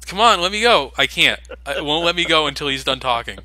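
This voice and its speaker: A man talks over gameplay with a very bright, forward tone and a lively, swinging pitch. He pokes fun at the game in a loose, sarcastic way, talking into a desk microphone. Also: a little fast. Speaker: let's play commentator. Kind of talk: casual game commentary.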